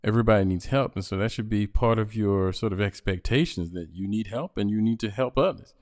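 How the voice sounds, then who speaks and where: Male podcast host, low voice, dry close sound, halting with restarts. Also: a little mellow, no echo. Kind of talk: interview conversation.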